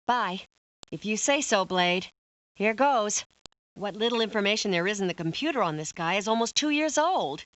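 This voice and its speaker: An actress playing a busy, brusque police technician in a video game, terse and sarcastic. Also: female voice, medium pitch, a bit bright, close to an everyday voice. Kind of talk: scripted video game acting.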